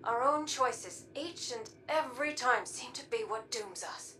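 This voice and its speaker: Slow, solemn, weary warrior woman. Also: a little high, expressive, a little hiss or hum. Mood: grave, reflective. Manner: measured, solemn, often dropping to a hushed breathy delivery. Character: weary, guarded time-traveling warrior woman, haunted by fear. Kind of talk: scripted video game dialogue.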